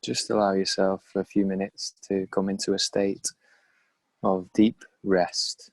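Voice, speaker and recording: Low, even-voiced male meditation guide. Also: no room sound. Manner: calm, unhurried, measured phrases. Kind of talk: guided meditation, slow and pausing.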